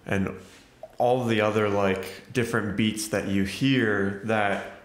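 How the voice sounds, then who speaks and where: A man's voice: on the low side, fairly even intonation, slow pace, roomy sound. A man co-hosting a casual movie review podcast, rambling with lots of fillers. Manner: rambling, many fillers like "like" and "you know". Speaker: podcast co-host.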